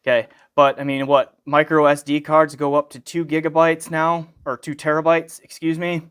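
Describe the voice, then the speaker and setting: A man's voice: fairly high pitch, monotone, normal speed, fairly bright tone, smooth. An American man giving a casual hands-on product review, rambling a little and correcting himself as he goes. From United States.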